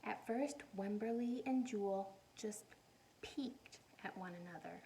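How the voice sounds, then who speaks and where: A woman teacher reading a picture book aloud to young children, animated and encouraging, often putting questions to her listeners. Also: medium pitch, slight background noise, poor audio. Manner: encouraging, animated, asks questions and pauses for answers.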